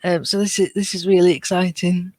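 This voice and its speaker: A woman's voice: lowish voice, flat delivery, fairly quick, dark tone, dry recording. Woman in dry-sounding podcast chat. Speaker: podcast guest or co-host. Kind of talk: casual fan discussion.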